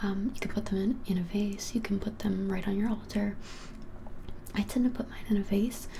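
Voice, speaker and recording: A woman in her twenties whispering a casual ASMR shopkeeper roleplay in a breathy, halting, helpful way. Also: mid-range voice, steady tone, slight background noise. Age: 20s. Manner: soft, hesitant, halting, helpful explaining. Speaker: ASMR creator playing a witch shop keeper. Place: small plain indoor room.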